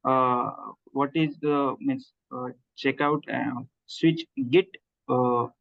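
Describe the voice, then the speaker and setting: A male student answering his teacher's question hesitantly, searching for words with many pauses. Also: medium pitch, steady tone, gravelly, fairly bright tone. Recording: dull lo-fi line.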